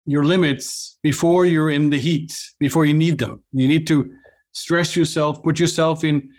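A middle-aged man from Norway with a Norwegian accent, a company cofounder chatting as an interview guest, often restarting and filling pauses with "uh". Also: fairly high pitch, steady tone, darkish tone. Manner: halting, many restarts and fillers. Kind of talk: casual interview chat.

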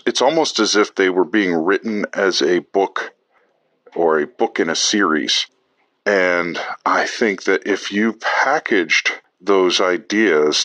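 Slow, deep-voiced man, thinking aloud. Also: sharp tone, dry recording. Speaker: podcast call-in listener. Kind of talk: casual voice message.

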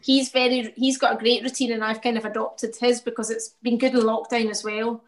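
A woman's voice: fairly high pitch, little pitch movement, fairly quick. A woman painter talking reflectively about her work in a casual interview, often laughing.

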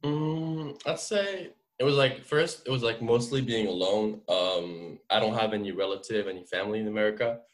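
Podcast guest: man, twenties, French accent, lowish pitch, informal and explanatory. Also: moderate pace, few pauses. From France. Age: 20s. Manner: informal, explanatory, frequent "like" and "you know". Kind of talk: casual interview storytelling.

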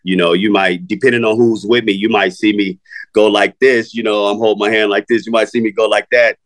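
A man's voice: lowish voice, flat delivery, a little fast. A middle-aged man, a rapper, talking casually in an interview, laid-back, swearing freely and filling his talk with "you know" and "man.".

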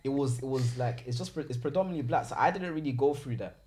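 A young man with a South London accent, a podcast host asking questions and sharing his own experiences in quick, slangy chat. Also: mid-range voice, mellow.

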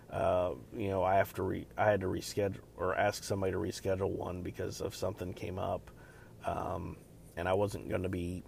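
A man hosting a podcast alone, talking in a loud, deep voice and rambling casually with many fillers and restarts. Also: vocal fry, slight background noise. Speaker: podcast host. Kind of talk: casual solo podcast chat.

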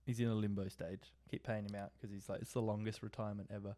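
A man's voice: on the low side, flat delivery, quick pace, warm tone. A young Australian man co-hosting a podcast, chatting casually with a mate and laughing often. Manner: laid-back, laughing, slangy. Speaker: podcast co-host. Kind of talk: casual chat between mates.

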